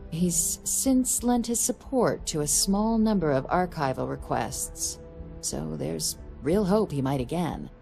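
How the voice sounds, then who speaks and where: An actress playing a composed woman diplomatic official in a game, giving a briefing in a measured, formal, dry way. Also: sits low, vocal fry, darkish tone. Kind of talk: scripted game dialogue, mission briefing.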